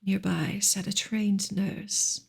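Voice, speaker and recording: A woman reading a novel softly in ASMR style, gentle and unhurried, often slipping into a whisper. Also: medium pitch, little pitch movement. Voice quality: soft, breathy, often dropping into a whisper. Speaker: ASMR reader and streamer.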